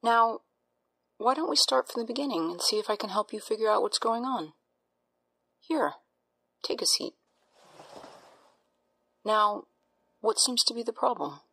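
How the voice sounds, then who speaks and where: A woman playing a doctor in an audio drama, breathy, soothing and clinical, with a patronizing, unsettling edge. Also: fairly high pitch, animated, a little slow, pauses often, a bit bright, exaggerated voice. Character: calm, smoothly reassuring doctor with an unsettling edge.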